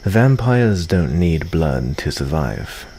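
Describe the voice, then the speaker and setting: A man playing a calm, lonely, guarded vampire of the forest in an ASMR roleplay, with formal, dryly teasing phrasing. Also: deep voice, a little hiss or hum, exaggerated voice. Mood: calm, dismissive. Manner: unhurried, soft-spoken formal phrasing with dry teasing. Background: cricket ambience.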